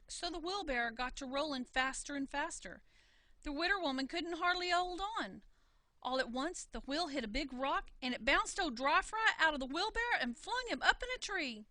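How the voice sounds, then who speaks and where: A woman reading a folksy tale in an animated storytelling style, full of country dialect. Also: high-pitched, no room sound. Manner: folksy, dialect-laden telling. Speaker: storybook reader.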